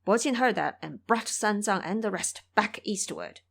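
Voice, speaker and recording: A woman narrates a dramatic story in scripted audio drama style. Her delivery is expressive and explanatory, with a very lively pitch that rises and dips. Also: normal speed, gravelly.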